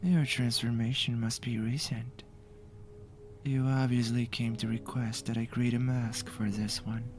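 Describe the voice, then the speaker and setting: A man playing a flamboyant, teasing ghoul mask maker in an anime audio roleplay, theatrical and playful with breathy asides. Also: medium pitch, flat delivery, a little slow, natural voice. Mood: intrigued, playful. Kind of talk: anime fan audio roleplay with ASMR touches. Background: faint music.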